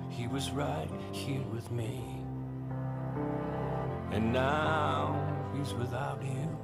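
A man singing a slow, heartfelt ballad on a recording with piano. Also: medium pitch, steady tone, creaky. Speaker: singer-songwriter. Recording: song with loud music.

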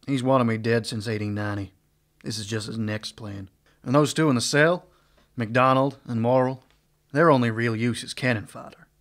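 A man's voice: medium pitch, a bit bright, close to an everyday voice. An actor playing a weary, terse male marshal in a western radio drama. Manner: terse, guarded.

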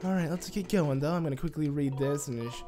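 A man's voice: fairly high pitch, fairly quick, over music. Chatty nasal-sounding man narrating gameplay. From United States. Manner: chatty, excitable, rambling with fillers. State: nasal, stuffy voice. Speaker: let's play host. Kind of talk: casual gameplay commentary.

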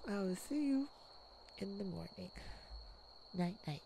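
Slow, creaky-voiced tenderhearted mage played by a woman. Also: mid-range voice, lively intonation, background noise. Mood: tender, soothing. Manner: soft, affectionate, unhurried with pauses. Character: tenderhearted mage, devoted partner to a werewolf. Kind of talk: romantic ASMR roleplay, one-sided dialogue.

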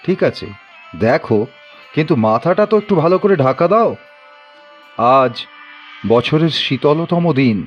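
A man's voice: on the high side, animated, a little slow, pauses often, dull audio, faint music behind. A man playing a protective, world-wise husband in an audio drama, cautioning and reflective. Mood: concerned.

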